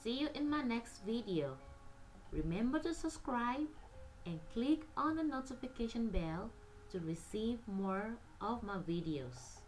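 A woman narrating a scripted children's read-along story in an expressive, drawn-out storytelling manner. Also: a little high, slight background noise, rough recording.

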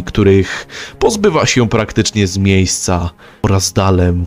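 A man narrating a scripted story recap. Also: sits low.

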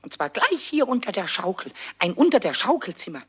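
An actor voicing an impish, excitable little male kobold in a children's radio play, squeaky and boastful. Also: brisk, slightly rough. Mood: excited, scheming.

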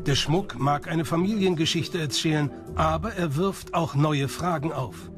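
A man narrates a documentary from a script. His voice sits on the high side for a man, and music plays clearly behind him. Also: unhurried.

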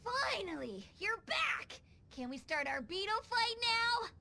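A woman playing a small, excitable boy in an English video game dub, proud and earnest, with an eager childlike manner. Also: very high voice, slow pace, vocal fry, very noisy, heavily acted.

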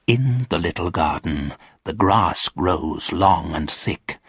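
A man voicing a lonely, sorrowful old ghost in a storybook, weary and solemn, with a husky voice. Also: deep voice, lively intonation, no echo, clean studio sound, pitched below the performer's own voice, exaggerated voice. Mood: wistful, hushed. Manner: slow, weary, solemn delivery.

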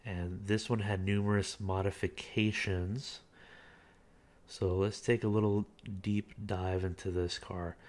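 A man's voice: low voice, a little slow. A man casually talking through a car auction listing, reading parts aloud with frequent filler words. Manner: relaxed, reads listing aloud with filler uhs. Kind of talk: casual screen-recorded commentary.

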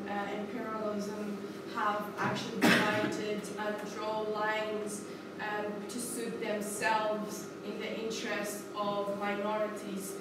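A woman's voice: mid-range voice, heavy background noise. A young woman from Iraqi Kurdistan giving an earnest talk at a seminar panel, with many hesitations and restarts. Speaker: panel speaker. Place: large indoor hall, standing behind a panel table. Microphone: far camera. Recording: very echoey hall from far off.

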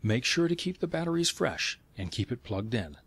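A man reading scripted instructional narration in a measured, step-by-step way. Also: mid-range voice, very animated, a bit bright, breathy edge.

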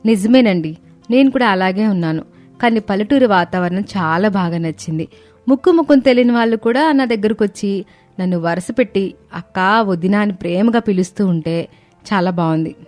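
Woman as young daughter-in-law, cheerful, eager, city-bred, mid-pitched, cartoon story acting, background music. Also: fairly quick, darkish tone, lightly acted. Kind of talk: animated moral-story voice acting. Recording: music bed under the voice.

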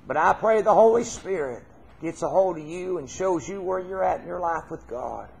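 A man's voice: sits high, lively intonation, moderate pace, vocal fry, darkish tone. A middle-aged man with a Southern US accent preaching an informal, folksy, earnest sermon, repeating phrases for emphasis. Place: church hall stage, indoors.

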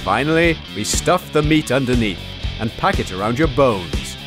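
Man acting pushy parody commercial announcer, declamatory, lively swooping pitch, music behind. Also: moderate pace, close to an everyday voice. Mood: hard-selling. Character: brash, hard-selling wasteland advert announcer.

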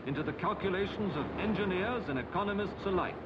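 High-pitched British man narrating a vintage newsreel film. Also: lively intonation, quick pace, heavy background noise. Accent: British English. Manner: formal, rhetorical, declamatory. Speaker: documentary narrator. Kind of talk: 1950s public information film narration. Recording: dull 1950 film soundtrack, music behind.

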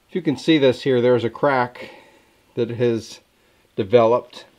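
A man's voice: medium pitch, a little mellow. Folksy sawmill man explaining outdoors. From Southern Indiana. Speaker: sawmill owner. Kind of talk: explaining on camera.

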